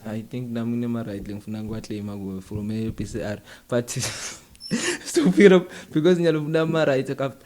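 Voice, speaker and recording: Man, South African accent, average pitch, casual interview host, conversational, code-switching. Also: animated, brisk, darkish tone.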